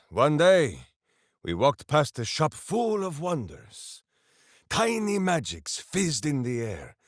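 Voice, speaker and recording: A man's voice: medium pitch, dry recording, studio quality. A man voicing a big-hearted, simple-minded heroic warrior in a video game, bombastic and earnest, speaking of himself in the third person. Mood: fond, wondering. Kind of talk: video game character voice acting.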